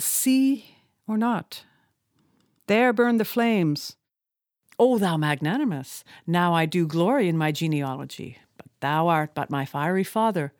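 A woman's voice: on the low side, no room sound, clean studio sound. A woman voicing a defiant old male sea captain, declaiming in grand, impassioned speech.

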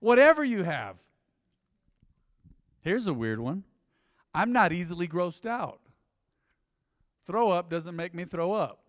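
Lively high-voiced male pastor preaching. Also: slow pace, pauses often, big-room sound. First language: English. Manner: animated, conversational preaching with many asides. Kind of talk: sermon. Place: church.

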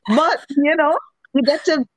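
A woman's voice: high-pitched, a little slow, dry recording. A woman talking earnestly in a discussion, stressing her points with rhetorical questions. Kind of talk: casual discussion. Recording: dull lo-fi sound.

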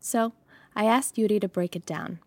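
A woman's voice: medium pitch, moderate pace, a little mellow, no echo. A woman raised in the United States, whose first language was Russian, hosting and narrating a podcast in a conversational, reflective way. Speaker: podcast host.

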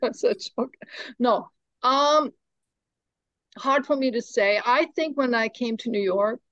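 A woman's voice: a little high, takes it slowly, a bit bright, dry recording, lo-fi sound. Playful middle-aged woman. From Germany. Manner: playful, laughs often, jokes and teases. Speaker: guest, stop motion animator. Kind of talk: casual interview chat.

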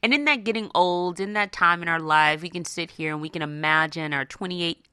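A woman's voice: on the low side, a little fast, bright, forceful tone, smooth, no echo, clean studio sound. An American woman hosting a radio show, talking conversationally and directly to her listeners in a motivational style, often checking in with them. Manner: conversational, addresses listeners directly with frequent "right?" and "okay?". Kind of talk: motivational personal finance talk to listeners.

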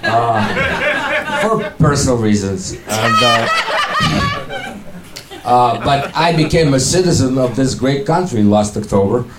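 A stand-up comedian from Ukraine with a Russian accent, in his forties, performing a halting, deadpan set in a comedy club. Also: medium pitch, over a noisy crowd. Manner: deadpan, halting, with many fillers. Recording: echoey club, loud room noise.

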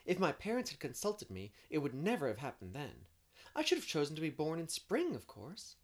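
A woman's voice: sits low, huge pitch swings, brisk, voice pushed up, heavily acted. A woman voicing a dreamy, talkative young woman in an audiobook, effusive and poetic in manner. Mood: dreamy, eager. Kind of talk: audiobook character reading.

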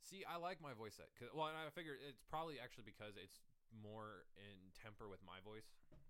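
Fast-talking young man, smooth voice. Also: fairly low pitch. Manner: rambling, filler-heavy, excitable. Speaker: podcast co-host. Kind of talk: casual gaming chat.

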